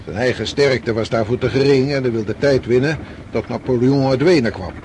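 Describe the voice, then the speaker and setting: An actor playing a weary, authoritative commanding general in a radio drama, explaining in a measured way. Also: male voice, medium pitch, darkish tone, slight background noise, lightly acted. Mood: grave, explaining. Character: weary commanding general of a retreating Russian army.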